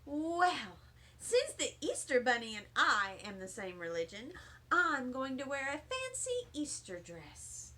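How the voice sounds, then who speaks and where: A woman's voice: high-pitched, huge pitch swings, measured pace, pushed and bright, heavily acted. A woman voicing a bossy, fussy first-grade girl in a storybook, huffy and self-important. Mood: smug.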